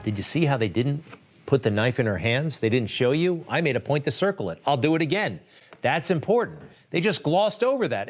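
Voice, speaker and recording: Man giving opinionated media commentary. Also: fairly high pitch. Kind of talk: opinionated commentary on news clips.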